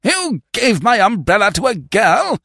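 Man acting a high-pitched, indignant young clubman. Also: very animated, a little slow, gravelly, no room sound, clean studio sound, full character voice. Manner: loud, spluttering, indignant. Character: indignant upper-class young clubman, spluttering and excitable. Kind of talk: audiobook character voice.

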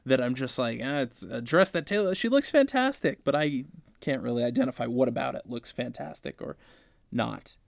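A man's voice: medium pitch, normal speed, creaky, dry recording, clean studio sound. A man hosting a casual fan podcast, chatty and playful, laughing often. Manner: playful, laughs often, animated when quoting. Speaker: podcast host.

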